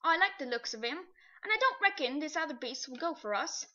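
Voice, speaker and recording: Woman voicing a rough-spoken old cab driver. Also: sits high, lively intonation, brisk, pushed and bright. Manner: coarse working-class dialect, earnest. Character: rough working-class London cabby, middle-aged man. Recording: dull lo-fi recording.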